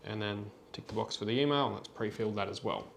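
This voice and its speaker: A man presenting an unscripted software tutorial in a plain, step-by-step instructional way. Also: lowish voice, fast talker, creaky, a little hiss or hum.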